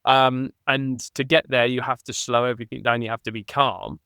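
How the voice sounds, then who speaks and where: A man who played rugby, a podcast guest reflecting conversationally and thinking aloud with restarts, getting animated on key points. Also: medium pitch, normal speed, gravelly, dry recording, clean studio sound. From England.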